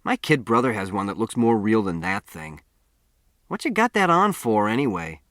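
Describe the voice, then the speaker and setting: A man's voice: lowish voice, sing-song, moderate pace, fairly bright tone, no echo, studio quality. A man voicing a cocky, mocking small-town American boy in an audiobook, with slangy, challenging delivery. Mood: mocking, curious.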